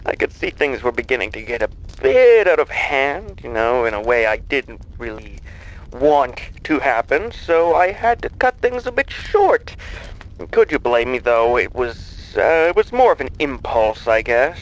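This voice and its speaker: A man's voice: medium pitch, sing-song, unhurried, poor audio. A man playing a gleeful, sinister mastermind in an audio drama, teasing and falsely soothing. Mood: coy, smug. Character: gleeful, mocking, sinister male mastermind on the phone.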